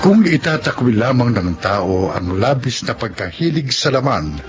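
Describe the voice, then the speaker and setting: A man with a Filipino accent announcing a radio drama in a formal, solemn, measured way. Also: mid-range voice, very animated, faint music behind. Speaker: announcer and narrator.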